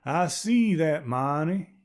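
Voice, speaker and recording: A man voicing a wary, weathered older frontier man with an odd near-Southern drawl, gruff and clipped, in a storybook reading. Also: fairly high pitch, lively intonation, takes it slowly, voice pushed up. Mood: curious. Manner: gruff, clipped, old-fashioned folksy speech.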